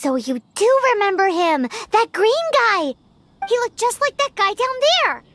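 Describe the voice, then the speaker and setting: A woman voicing a tiny, chirpy, blunt fairy companion girl in a video game, with a squeaky childlike voice. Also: big pitch swings, a little slow, fairly bright tone, a little hiss or hum, full character voice. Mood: excited, curious.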